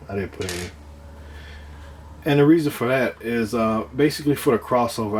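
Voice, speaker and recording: Man, slightly deep voice, casual hands-on demo, hesitant thinking aloud, light noise behind. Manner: halting, unscripted, thinking aloud. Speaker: DIY speaker builder presenting own project. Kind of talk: casual hands-on demonstration talk.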